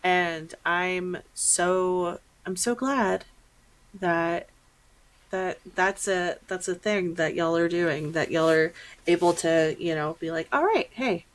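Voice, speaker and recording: Low-pitched woman hosting a casual podcast. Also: slow pace. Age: millennial, 30s or so. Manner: informal, encouraging. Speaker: podcast host. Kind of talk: casual podcast interview chat.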